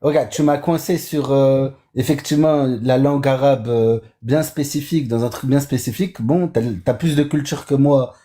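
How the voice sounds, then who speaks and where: A man's voice: mid-range voice, moderate pace, few pauses, mellow. A man born in Europe in an online voice debate, conciliatory and hesitant, often repeating his words.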